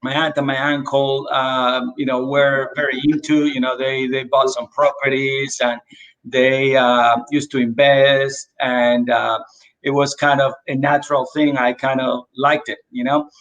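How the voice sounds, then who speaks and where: Animated man telling his story. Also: medium pitch, unhurried, lo-fi sound. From Dominican Republic. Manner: animated storyteller with many fillers. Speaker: real estate agent, guest. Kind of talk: casual video call interview.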